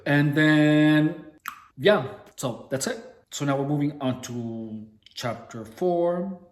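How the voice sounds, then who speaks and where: A man with a heavy Mexican accent reading a book aloud slowly and stopping to puzzle over words, with casual swearing. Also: a little high. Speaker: book reader and explainer. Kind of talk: casual read-along of a book. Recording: echoey room.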